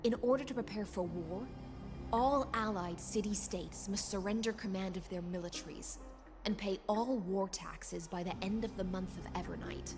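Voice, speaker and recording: An actress playing a composed, authoritative council official in a game, declaring decrees formally. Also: female voice, mid-range voice, normal speed, natural voice. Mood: formal, resolute. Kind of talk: scripted game voice acting. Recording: long reverb, music behind.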